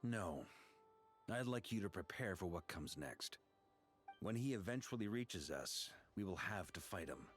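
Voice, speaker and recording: An actor voicing a seasoned, pragmatic knight commander in a video game, talking in a measured, informal way. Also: male voice, sits low, expressive, soft background music. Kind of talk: scripted video game dialogue acting.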